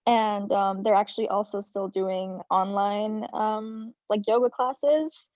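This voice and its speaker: Chatty student with a dark, muffled voice. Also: female voice, mid-range voice, fairly even intonation, slow pace, no echo. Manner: chatty, filler-heavy. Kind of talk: casual panel chat. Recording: dull, dry lo-fi call audio.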